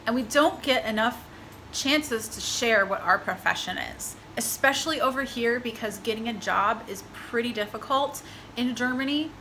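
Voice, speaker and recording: A woman's voice: a little high, bright, forceful tone, a little hiss or hum, poor audio. A young woman from Texas, chatting upbeat in a casual vlog introduction. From Texas, USA. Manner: upbeat and chatty, with light laughs. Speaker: vlogger, military spouse.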